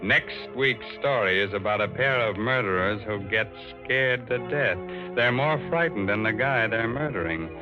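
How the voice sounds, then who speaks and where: An actor playing a ghoulish, sardonic horror show host in 1940s radio style, tongue-in-cheek and theatrical. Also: male voice, on the low side, animated, normal speed, few pauses, natural voice. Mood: gleefully macabre. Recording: muffled 1940s radio recording with organ music.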